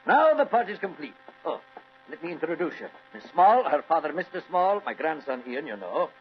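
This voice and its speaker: A hearty old Scottish grandfather of the landed gentry, pitched high and swinging widely in tone. He exclaims his way through an old radio play on a dull vintage recording. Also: big pitch swings, normal speed, a little hiss or hum. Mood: jovial, hearty. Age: older. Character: jovial old Scottish baronet, hearty grandfather, excitable host. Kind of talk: old-time radio drama acting.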